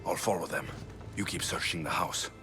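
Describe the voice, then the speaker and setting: A man's voice: deep voice, steady tone, moderate pace, natural voice. A man playing a determined, defiant young Greek mercenary hero in a game cutscene, blunt and resolute. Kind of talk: video game cutscene acting. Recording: loud music behind the voice.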